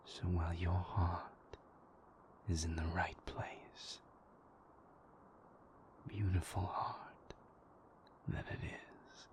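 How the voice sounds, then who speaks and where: A man playing a moody, tender vampire lover in an intimate audio roleplay, speaking breathily in formal, measured phrases. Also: deep voice. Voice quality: breathy, whispery.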